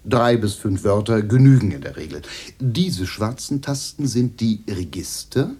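A man's voice: fairly low pitch, expressive, a little slow, warm tone, slight background noise. An actor in a radio play voicing a glib, eager American salesman who chats through his sales patter. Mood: smooth, explaining.

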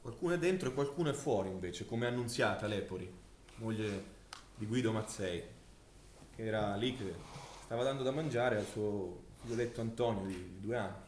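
Man acting grim massacre survivor, halting testimony, stage drama, emphatic repetition, echoey room, background hiss. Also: on the low side, a little mellow, natural voice. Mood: grim, insistent. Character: male survivor giving grim eyewitness testimony of a wartime massacre. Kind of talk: theatrical documentary monologue.

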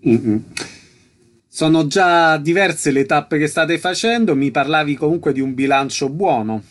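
A man's voice: fairly high pitch, animated, unhurried. A man hosting a casual interview in the manner of a radio chat. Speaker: interviewer, host.

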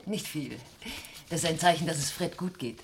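Casting: composed, probing law-office colleague, very low female voice, seventies radio drama, faint noise. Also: moderate pace. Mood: businesslike. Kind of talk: 1970s German radio crime drama acting. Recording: noisy old radio archive recording.

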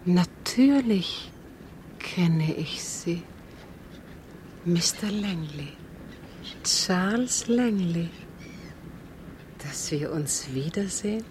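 Extremely slow, frail, dazed lady. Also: on the low side, lively intonation, darkish tone, slight background noise. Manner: halting, faint, dreamy. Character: frail, dazed lady slowly regaining her memory. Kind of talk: German radio drama dialogue.

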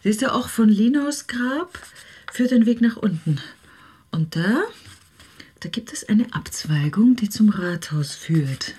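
Radio drama role: determined female investigator, sardonic and skeptical, medium pitch. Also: animated, slow, darkish tone, breathy edge. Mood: wry probing. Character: skeptical, dry, determined woman investigator who bluffs boldly.

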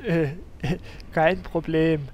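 A man's voice: a little high, fairly even intonation, drawn-out words, darkish tone. A man playing a nervous, flustered game hero in a parody audio drama. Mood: nervous, relieved.

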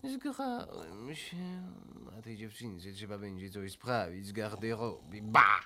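A man's voice: lowish voice, big pitch swings, slow, background noise, exaggerated voice. An actor playing a vain, jaded womanizing count in a radio play, with a languid, affected manner and French phrases dropped in. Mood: peevish, drowsy. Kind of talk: theatrical Polish radio play acting.

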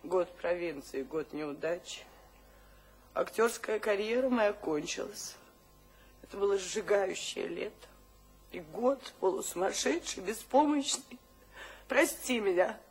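An actress playing an anguished, penitent wife who failed on the stage, in a theatrical radio drama. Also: female voice, medium pitch, lively intonation, unhurried, slight background noise, poor audio. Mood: remorseful.

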